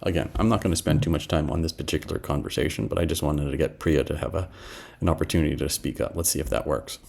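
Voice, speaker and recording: A middle-aged man giving a casual product demo, halting and thinking aloud as he talks at his desk. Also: extremely low pitch, gravelly, darkish tone. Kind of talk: casual screen-share demo talk. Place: small indoor room at home.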